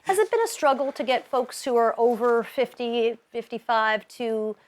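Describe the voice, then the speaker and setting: A woman's voice: fairly high pitch, expressive, normal speed. A woman interviewer hosting a broadcast panel, putting questions to guests. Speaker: interviewer, host.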